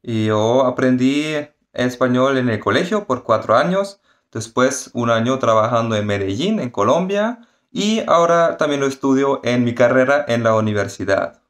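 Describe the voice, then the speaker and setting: Student, articulate, mid-pitched. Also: male voice, animated, normal speed. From Germany. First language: German. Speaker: interviewee, university student.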